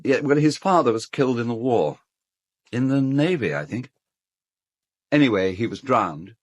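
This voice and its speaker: An actor playing a fastidious, polite British gentleman host in an audio drama, measured and slightly diffident. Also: mid-range voice, lively intonation, no echo, clean studio sound, natural voice. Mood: polite, informative. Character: courteous, fastidious gentleman host of an island estate.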